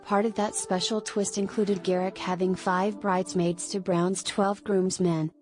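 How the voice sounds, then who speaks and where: A woman narrates a scripted celebrity news piece at a middling pitch. She reads it aloud in a measured way, with music playing behind her voice. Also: darkish tone.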